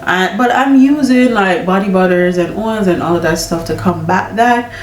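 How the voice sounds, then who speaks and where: A woman's voice: medium pitch, moderate pace, a little hiss or hum. A young woman vlogging casually about her pregnancy, candid and chatty, with a congested, stuffy nose. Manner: candid, chatty, laughing often. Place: home. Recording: echoey room, mic at a distance.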